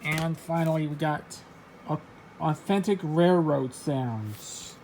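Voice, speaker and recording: Guy flipping thru old records reading labels, kinda gravelly, faint hum behind. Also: fairly high pitch, a little mellow, rough recording. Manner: reads off record titles, halting and offhand. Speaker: record collector. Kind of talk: casual show-and-tell vlog.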